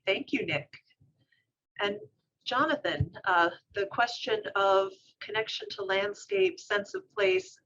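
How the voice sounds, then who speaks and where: A woman's voice: mid-range voice, a bit bright, dull audio, slight background noise. A woman moderating an author discussion, passing questions along to the panelists.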